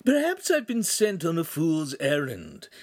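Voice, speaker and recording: A man's voice: on the high side, wildly swooping pitch, measured pace, darkish tone, breathy edge, no echo, clean studio sound, pitched above the performer's own voice, exaggerated voice. A man voicing a small holographic imp in an audiobook, mocking and pompous, declaiming in a florid, grandiose way. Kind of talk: audiobook character voice.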